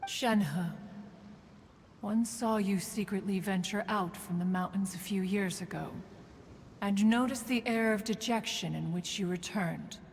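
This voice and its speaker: Woman as proud ancient crane spirit, formal archaic diction, unhurried, fondly protective, game acting, long reverberant tail. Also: medium pitch, slight background noise, natural voice. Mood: gentle fondness. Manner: formal, archaic, haughty, measured. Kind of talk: scripted video game character acting. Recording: long reverb, music behind. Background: music.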